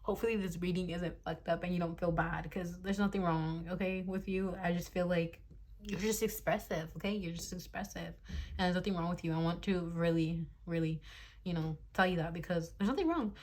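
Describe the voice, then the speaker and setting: A young woman in her twenties speaks in a slightly low voice as she gives a casual tarot reading into her phone. She is blunt and talkative, swears freely and often breaks into laughter. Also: brisk, a little mellow. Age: 20s. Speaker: tarot reader.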